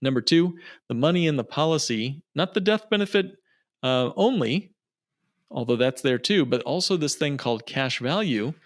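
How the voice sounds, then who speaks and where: A man's voice: a little high, animated, moderate pace, dry recording, studio quality. A man speaking as a financial planner guest on an interview podcast, explaining conversationally and emphatically, with repetition for effect.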